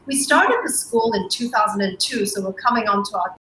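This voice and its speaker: A woman's voice: medium pitch, expressive, brisk, echoey room. A woman born and raised in Hong Kong, whose first language is Cantonese, talking fluently with frequent restarts as a school co-founder on a video call. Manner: warm, fluent with many restarts and ums. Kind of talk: interview on a livestream.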